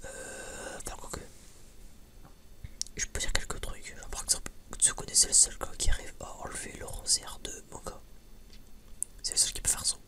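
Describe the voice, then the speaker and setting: An ASMR creator whispering in a breathy, unvoiced voice, rambling informally and hesitantly as if chatting to viewers. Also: very high-pitched, monotone, normal speed, pauses often.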